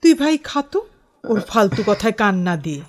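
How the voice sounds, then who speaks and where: A woman's voice: mid-range voice, a little fast, lightly acted. A woman acting an exasperated but caring young wife and older sister in an audio drama. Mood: caring, concerned. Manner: scolding, expressive.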